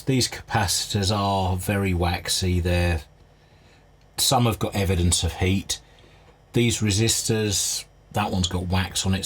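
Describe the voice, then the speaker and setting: A man with a fairly low, husky voice talks through a hands-on inspection. He presents like a restorer at work, thinking aloud in a measured, understated way without a script. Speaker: vintage radio restorer presenting a repair video. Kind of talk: unscripted hands-on inspection commentary.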